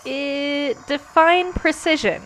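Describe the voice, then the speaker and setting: A woman's voice: high voice, slow, slight background noise. A woman playing a breezy, reckless starship pilot in a sci-fi comedy audio drama, cheeky and playful. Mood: teasing. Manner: flippant, cheeky. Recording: loud music behind.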